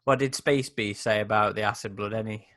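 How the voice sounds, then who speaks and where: A man's voice: lowish voice, fairly even intonation, a little fast, a bit bright. A man playing a tabletop game, debating casually and often repeating his first words as he objects.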